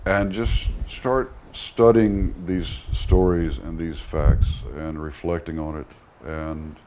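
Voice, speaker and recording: Very deep-voiced, hesitant man. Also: steady tone, darkish tone. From United States, Texas. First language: English. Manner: hesitant, thoughtful, with searching pauses and repeats. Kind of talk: reflective interview talk. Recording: audible background noise.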